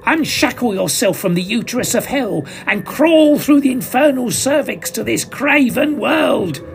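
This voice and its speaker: A man's voice: high voice, very animated, slow pace, gravelly, voice pushed up, heavily acted. A man doing a manic commanding villain magus. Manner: hissing, commanding, theatrical. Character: manic sinister male magus summoning a demon.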